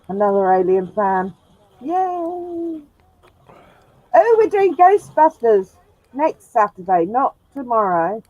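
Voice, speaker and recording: Slow-talking bubbly woman, lo-fi sound. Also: a little high, very animated, darkish tone, no echo. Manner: chatty, laughs often, bubbly. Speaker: host of a sci-fi live stream. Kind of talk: casual chat. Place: room with a glass roof. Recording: dull lo-fi dry sound.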